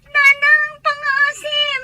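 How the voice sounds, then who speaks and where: A woman with an Ilocano accent playing a pregnant daughter in labor, crying and pleading in a drawn-out whine in an audio drama. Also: extremely high pitch, completely flat delivery, bright, forceful tone, soft background music, full character voice. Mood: desperate pleading. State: crying, in pain.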